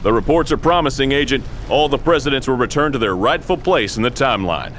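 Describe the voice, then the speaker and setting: Guy playing earnest major type from a spy game, melodramatic briefing voice, pitch moves around a lot. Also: lively intonation, fast, fairly bright tone, slight background noise, close to an everyday voice. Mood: solemn. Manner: solemn, melodramatic mission-briefing delivery. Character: earnest, pompous male military commander of spy agents. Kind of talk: tongue-in-cheek spy game acting.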